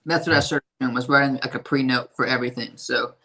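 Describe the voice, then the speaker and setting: A man with a raspy, hoarse voice, talking about his music in a casual interview and rambling with lots of fillers and swearing. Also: mid-range voice, steady tone, quick pace, sharp tone. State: hoarse from a strained voice. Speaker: independent musician.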